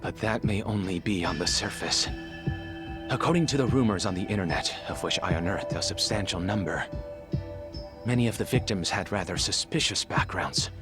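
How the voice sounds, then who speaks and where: A studious, courteous assistant investigator in a video game story. He has a low voice and speaks in careful, formal phrases over clearly audible background music. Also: expressive, moderate pace. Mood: polite, earnest. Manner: formal, precise wording. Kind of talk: dramatic game cutscene dubbing.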